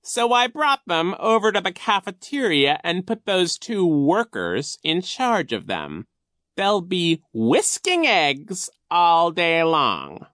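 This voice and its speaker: A woman voicing a wicked, smug male gym coach in a storybook, with a sly, drawn-out theatrical delivery. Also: lowish voice, very animated, a bit bright, dry recording, studio quality, pitched above the performer's own voice, full character voice. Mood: menacing, gloating.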